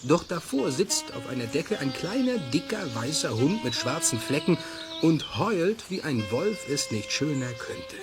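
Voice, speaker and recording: A man narrating a children's radio play in an expressive, suspenseful storytelling manner. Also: on the high side, unhurried, over loud music.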